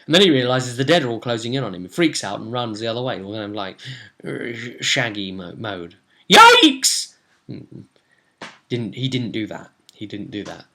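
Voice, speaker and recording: A man, casually recounting a tabletop role-playing session in an animated, rambling way and slipping into bits of dialogue. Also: on the low side, a little fast. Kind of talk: casual rambling storytelling to camera. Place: small home room, indoors.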